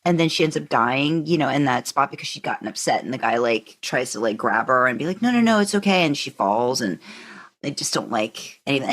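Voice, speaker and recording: A woman co-hosting a podcast, talking through her opinions in a casual, conversational way with hedging asides. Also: sits low. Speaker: podcast co-host; narrator by trade. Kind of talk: casual fan discussion.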